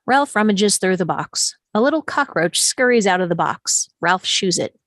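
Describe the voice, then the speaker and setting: A woman's voice: medium pitch, animated, moderate pace, dry recording. A woman reading stage directions as scripted narration for a screenplay table read, close to the microphone.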